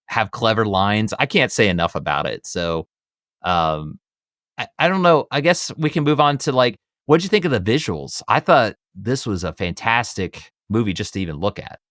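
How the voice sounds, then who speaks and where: A man's voice: sits low, a bit bright, no room sound, studio quality. A man co-hosting a casual movie discussion podcast, talking in a rambling, enthusiastic, conversational way. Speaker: podcast co-host.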